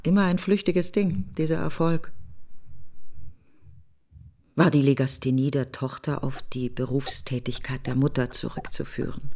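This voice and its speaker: A woman's voice: deep voice, warm tone. An actress playing a middle-aged, bitter woman mourning her best friend in an intimate, brooding radio drama monologue.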